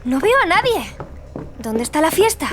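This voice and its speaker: A woman playing a trusting, excitable little girl in a true-crime audio drama. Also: sits high, moderate pace, a little hiss or hum. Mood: uneasy.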